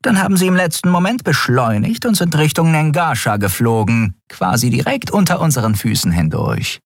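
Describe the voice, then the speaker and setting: A sharp, predatory alien woman serving as first officer, delivering crisp military reports, as a male reader voices her. Her voice sits high and husky. The sound is dry and close, like a storybook reading. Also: sing-song, normal speed, no room sound, full character voice. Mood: reporting.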